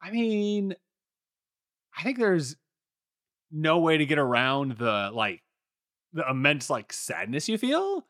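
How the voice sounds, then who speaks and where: A young man co-hosting a podcast, telling stories in a casual, animated way with lots of filler words. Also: fairly high pitch, takes it slowly, long pauses, no echo, clean studio sound. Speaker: podcast co-host. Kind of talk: casual chat.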